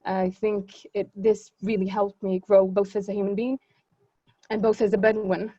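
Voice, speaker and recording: A woman's voice: medium pitch, flat delivery, normal speed, dark tone, muffled recording. A young woman whose first language is Arabic, speaking in a webinar panel and reflecting earnestly with many fillers and restarts. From Israel. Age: young adult. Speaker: student panelist.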